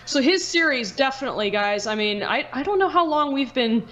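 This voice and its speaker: A woman's voice: high-pitched, expressive, brisk, dull audio, over a noisy crowd. A woman hosting a podcast in casual chat, informal and slangy with some offhand swearing. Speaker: podcast host, online video creator.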